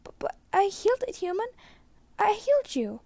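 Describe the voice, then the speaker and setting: A woman's voice: extremely high pitch, big pitch swings, moderate pace, darkish tone, no room sound, pitched above the performer's own voice, full character voice. A woman voicing a small, kindly male skeleton healer who speaks in an emotional, frantic way. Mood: desperate.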